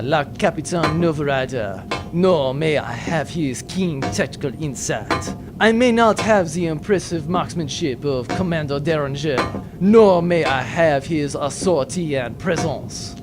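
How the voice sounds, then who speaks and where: A man's voice: fairly high pitch, animated, unhurried, music in the background. An actor playing an earnest, self-doubting French spaceship crewman in a comic audio drama, formal and hesitant, with a French accent. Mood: anxious, resolute. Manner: formal, hesitant, with odd word choices.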